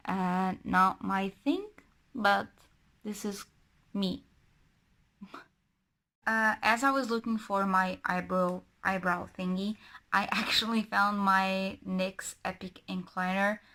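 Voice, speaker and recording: A woman's voice: medium pitch, steady tone, slow pace, pauses often, pushed and bright. A young woman from Portugal, chatting casually and rambling with many self-corrections while doing her makeup. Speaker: book and beauty vlogger.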